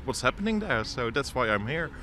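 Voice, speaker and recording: A man's voice: mid-range voice, big pitch swings, brisk, bright, forceful tone. A man who works as a data scientist giving short, straightforward answers in a street interview. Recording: faint outdoor noise.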